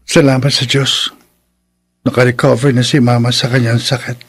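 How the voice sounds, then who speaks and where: A man's voice: medium pitch, flat delivery, normal speed, fairly bright tone, soft background music. A man with a Filipino accent playing a humble, mistreated young son in a radio drama, earnest and pleading. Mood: calm, relieved.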